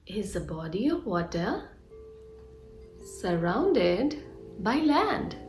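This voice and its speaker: A woman reading a children's story aloud like a teacher, expressively and with careful, deliberate enunciation. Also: a little high, gravelly, mellow.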